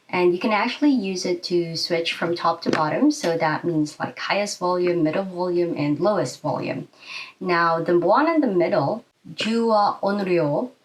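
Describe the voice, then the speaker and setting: A woman's voice: lowish voice, far from the mic, rough recording. A young adult woman giving a casual step-by-step explanation to camera in a small indoor room. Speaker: video host and presenter. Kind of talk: informal instructional explainer to camera.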